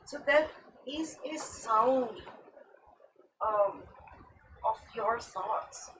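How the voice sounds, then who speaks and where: A woman's voice: fairly high pitch, extremely slow. A woman whose first language is Gujarati, speaking reflectively as an artist on a panel discussion. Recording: distant mic with room noise.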